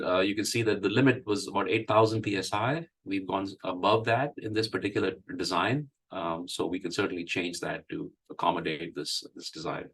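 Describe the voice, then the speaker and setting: Man, hoarse from a cold, very low husky voice; halting technical presenter. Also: a bit bright. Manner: halting, with many fillers and restarts. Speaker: engineering presenter. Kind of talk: technical presentation at an online meeting.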